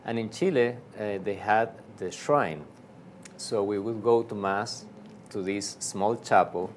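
A man's voice: on the low side, unhurried, slight background noise. A man from Argentina with an Argentine Spanish accent, a priest telling his story in a casual interview, with many fillers and restarts.

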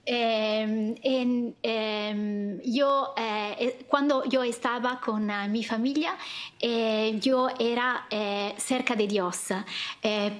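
A woman from northern Italy with an Italian accent, a guest singer who speaks haltingly, pausing often and searching for her words. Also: a little high, fairly bright tone. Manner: hesitant, many pauses and fillers, searching for words.

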